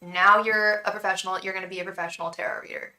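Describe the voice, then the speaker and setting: Bright, chatty woman reading tarot live. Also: medium pitch, fast talker, echoey room, poor audio. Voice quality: calming voice. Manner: chatty and reassuring, many restarts and "um"s; addresses viewers fondly as "love". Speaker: tarot reader and psychic.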